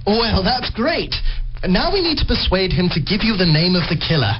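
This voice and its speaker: Bumbling amateur sleuth, lively intonation. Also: male voice, sits high, normal speed. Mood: excited. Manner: hesitant, muddled, eager. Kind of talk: radio play acting. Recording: muffled recording with faint noise.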